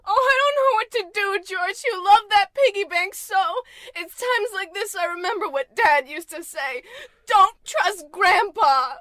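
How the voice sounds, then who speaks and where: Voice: very high voice, unhurried, pushed and bright, no room sound, exaggerated voice. An actor playing a sweet, easily frightened housewife, a woman in an exaggerated old-time radio comedy. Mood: frightened, tearful.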